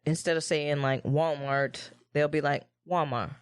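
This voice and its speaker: A woman's voice: low voice, a little slow, smooth, dry recording, clean studio sound. A woman with a Midwestern American accent, co-hosting a casual podcast and telling stories with plenty of swearing. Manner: animated storytelling, frequent swearing. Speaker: podcast co-host. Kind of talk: casual chat.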